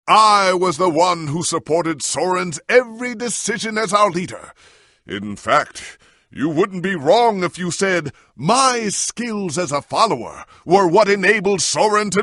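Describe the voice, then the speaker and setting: An actor playing a proud warrior hero in a video game, with a pompous, theatrical, commanding way of speaking. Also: male voice, on the high side, sharp tone, dry recording, studio quality, heavily acted. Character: proud, grandiose warrior hero, an adult man. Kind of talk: dramatic game dialogue and heroic speeches.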